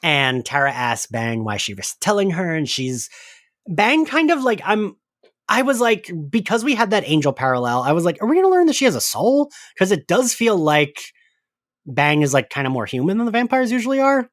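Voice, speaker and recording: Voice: medium pitch, very animated, normal speed, no room sound, studio quality. A podcast host in a casual fan discussion, talking in a loose, chatty way peppered with "like".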